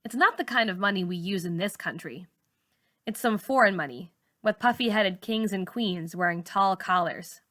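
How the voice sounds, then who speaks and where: A woman narrates a first-person story like a teacher reading to a class. Her voice is mid-pitched and bright, with a forceful edge and expressive delivery. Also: normal speed. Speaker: teacher reading a novel aloud.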